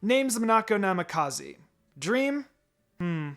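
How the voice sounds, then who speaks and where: A man voicing a calm, blunt, determined young ninja girl in a fan fiction reading. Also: sits high, slow pace, pitched above the performer's own voice, exaggerated voice. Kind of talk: fan fiction character dialogue. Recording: music behind the voice.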